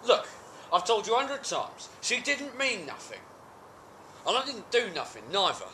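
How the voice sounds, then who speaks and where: A man's voice: fairly high pitch, big pitch swings, normal speed, bright, forceful tone. A man playing a cheeky working-class husband, indignant and wheedling in a colloquial stage monologue. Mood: defensive, wheedling. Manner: wheedling, indignant, self-justifying. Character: cheeky, aggrieved working-class husband making flimsy excuses. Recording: amateur home video with background noise.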